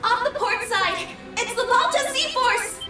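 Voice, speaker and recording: Excitable little female game lookout, squeaky high pitch, chirpy, exclaiming, loud music. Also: a little slow. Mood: alarmed. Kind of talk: English video game voice acting.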